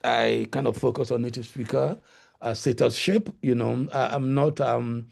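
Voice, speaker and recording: A middle-aged Ghanaian-accented man answers questions on a video call, his voice deeply gravelly and husky. He speaks hesitantly, with many fillers and restarts. The tone is dark and mellow. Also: fairly even intonation, normal speed. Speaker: guest lecturer.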